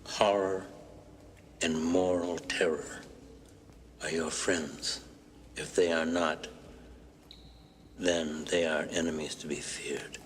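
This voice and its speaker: An actor playing a brooding, menacing man in a grave, halting, murmured film monologue. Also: extremely low pitch, fairly even intonation, a little hiss or hum.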